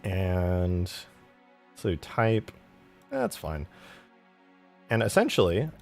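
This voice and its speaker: A man's voice: deep voice, huge pitch swings, very slow, gravelly, a little mellow, soft background music. A man live streaming as he works on a game mod, chatting casually with viewers and muttering his steps aloud in fragments. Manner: thinks aloud in short fragments, muttering to himself while working. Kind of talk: casual live stream chat while working.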